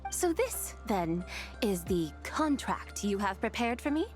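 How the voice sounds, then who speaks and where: A woman's voice: medium pitch, big pitch swings, a little slow, over music. An actress voicing a haughty, playful immortal woman in a video game, with formal, teasing, imperious phrasing. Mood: coolly skeptical. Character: haughty, playful immortal female adeptus of refined bearing. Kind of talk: theatrical video game voice acting.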